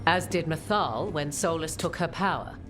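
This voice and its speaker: An actress playing an imperious, cryptic sorceress in a fantasy game, speaking in formal, archaic, theatrical phrases. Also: female voice, deep voice, normal speed, music in the background, close to an everyday voice. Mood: grave. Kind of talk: dramatic video game acting.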